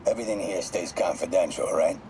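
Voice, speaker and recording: A man's voice: low-pitched, brisk, warm tone, a little hiss or hum, lightly acted, low-quality recording. An actor playing a cocky, coolly remorseless mob hitman with an American accent, casually menacing in a video game audio log. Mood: guarded, threatening. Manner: casual, matter-of-fact menace.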